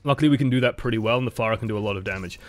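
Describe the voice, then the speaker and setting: A man's voice: on the low side, very fast. A man with a dry voice, giving casual, explanatory coaching commentary over gameplay footage. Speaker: game coach.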